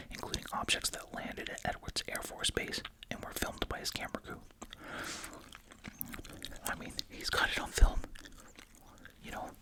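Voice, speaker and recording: An ASMR host speaking in a breathy, unvoiced whisper close to the microphone while chewing gum, reading aloud and adding casual comments.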